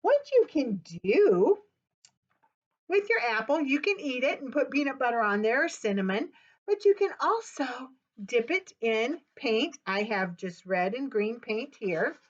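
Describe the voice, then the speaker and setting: Slow, sing-song older woman storyteller. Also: high-pitched, big pitch swings. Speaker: children's librarian. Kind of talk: storytime reading for children. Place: small office, indoors. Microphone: webcam at desk. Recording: dull webcam audio.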